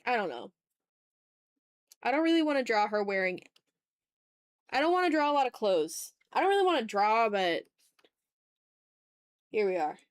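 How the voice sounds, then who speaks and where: A woman's voice: fairly high pitch, sing-song, normal speed, pauses often, smooth, no echo, studio quality. A woman from the American South chatting casually on an art livestream, self-deprecating and playfully exasperated. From Southern United States. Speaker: streamer. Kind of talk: casual livestream chat while drawing.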